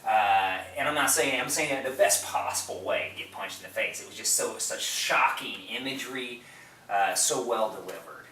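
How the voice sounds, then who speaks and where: A man's voice: on the low side, animated, moderate pace, bright, forceful tone. A man co-hosting a casual film discussion, talking in a rambling, emphatic way. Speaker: podcast co-host. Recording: roomy, distant mic, faint noise.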